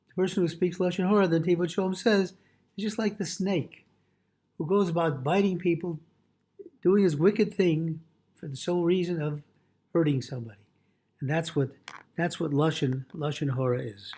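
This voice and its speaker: A man's voice: fairly high pitch, a little mellow. A man speaking as a rabbi giving a religious lesson, reading a text aloud and explaining it point by point, repeating and rephrasing as he goes.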